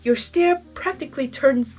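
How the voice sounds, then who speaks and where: A woman's voice: sits high, normal speed, darkish tone, faint music behind, voice pushed up, natural voice. A woman voicing a gallant, smitten male chaos creature with tender, flowery speech in a storybook reading. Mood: gushing, emphatic. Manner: tender, flowery, emphatic courting.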